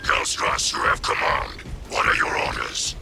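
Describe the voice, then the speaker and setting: An actor voicing a hardened, zealous space marine in a video game, speaking in terse military reports with a gravelly voice. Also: male voice, a little slow, over loud music, close to an everyday voice. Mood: stern, resolute. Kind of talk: cinematic video game voice acting.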